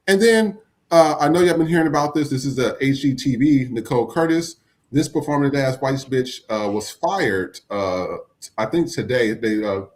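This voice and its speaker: Blunt, animated male podcast host. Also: medium pitch. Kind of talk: casual commentary.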